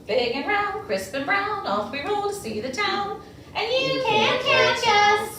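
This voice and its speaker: A woman's voice: very high-pitched, animated, unhurried, pushed and bright, voice pushed up, full character voice. A woman voicing gleeful runaway potato pancakes in a storybook, chanting a bouncy, taunting sing-song rhyme. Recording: echoey room, distant mic, faint noise.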